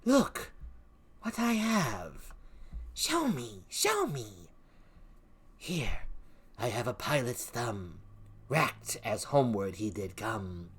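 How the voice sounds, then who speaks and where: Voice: medium pitch, very animated, slow pace, long pauses, a bit bright. A reader voicing the weird sister witches, chanting spells with sing-song swings and sudden whispers. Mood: eerie chanting.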